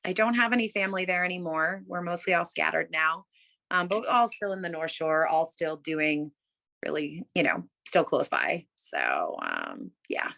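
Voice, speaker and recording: A woman's voice: sits low, very animated, normal speed, creaky, pushed and bright. Chatty woman on a video call. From Massachusetts, USA. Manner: chatty, many fillers, upbeat. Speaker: bookstore owner, guest. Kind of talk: casual interview answers. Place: home room.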